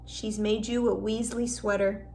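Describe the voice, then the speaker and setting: A woman reading a storybook and voicing an excitable, blunt young schoolboy with an expressive, exclaiming manner. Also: medium pitch, a little slow, far from the mic, a little hiss or hum, close to an everyday voice. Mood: embarrassed dismay.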